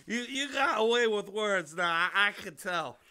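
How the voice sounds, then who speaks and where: An actor playing a chummy, prying drunk at a bar, with grandiloquent, rambling, slurred speech in an audio drama. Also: male voice, high voice, lively intonation, a little slow, sharp tone, slight background noise, full character voice. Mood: boisterous, needling. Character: tipsy middle-of-the-night barfly, chummy, prying, grandiloquent.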